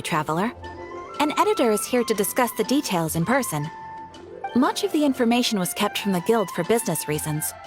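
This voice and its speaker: A helpful guild receptionist in a video game. Her highish voice is polite and formal, with lively intonation over clear music.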